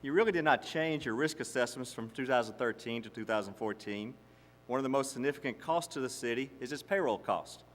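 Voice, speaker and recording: A man playing a probing board member questioning an auditor in an amateur stage dramatization, formal and deliberate. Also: medium pitch, a bit bright, close to an everyday voice. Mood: stern, probing. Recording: echoey hall, long reverb.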